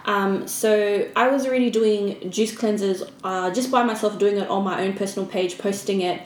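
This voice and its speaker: A woman's voice: medium pitch, steady tone, normal speed. A woman chatting casually as a podcast guest. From Fiji-born, raised in Australia. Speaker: podcast guest, small business owner. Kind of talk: casual interview chat. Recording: echoey room with background noise.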